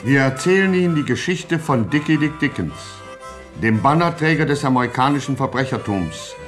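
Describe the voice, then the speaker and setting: An actor playing a solemn, pompous, tongue-in-cheek narrator of a satirical gangster radio play. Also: male voice, mid-range voice, expressive, normal speed, music in the background, lightly acted. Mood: mock solemn. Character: grandiose mock-epic narrator of a gangster satire.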